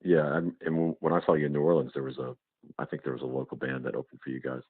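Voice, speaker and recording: Low-voiced man on a muffled phone line. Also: monotone, quick pace, slightly rough, no echo. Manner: hesitant, rambling questions. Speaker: fan interviewing a musician. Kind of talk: casual phone interview.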